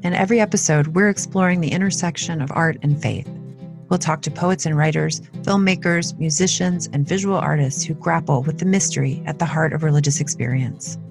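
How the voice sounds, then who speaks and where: A woman hosting a podcast, reading a scripted introduction of her guest in an even manner. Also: deep voice, a little fast, vocal fry. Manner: even, read-aloud delivery. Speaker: podcast host. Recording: dry studio sound with faint music.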